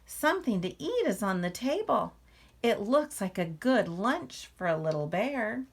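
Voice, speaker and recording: A woman's voice: mid-range voice, big pitch swings, unhurried, breathy edge, voice pushed up, heavily acted. A woman voicing an eager, imaginative little boy bear cub from a storybook, with wide-eyed, emphatic delivery. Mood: amazed.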